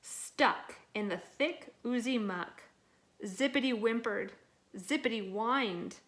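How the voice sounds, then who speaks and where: A woman reading a children's picture book aloud in an expressive, dramatic and deliberate way. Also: fairly high pitch. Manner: dramatic, deliberate delivery with acted sound words. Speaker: storybook reader.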